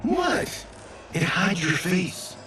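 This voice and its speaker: A cocky young fighter who tosses off sarcastic quips. The voice is on the high side for a man and swoops a lot, at an unhurried pace. It is game cutscene dialogue. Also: big pitch swings, pushed and bright, faint music behind, full character voice, rough recording. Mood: joking. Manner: glib, sarcastic. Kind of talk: cinematic video game acting.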